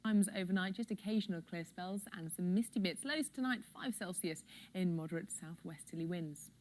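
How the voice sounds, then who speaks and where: A woman's voice: fairly low pitch, moderate pace, darkish tone. British woman reading a weather forecast.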